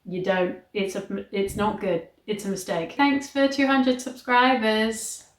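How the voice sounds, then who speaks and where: Slow-paced woman, wry, in an echoey room. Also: mid-range voice, level tone. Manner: wry, sarcastic, sweary. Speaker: host reading a book aloud and reacting. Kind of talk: read-aloud storytelling with casual vlog commentary.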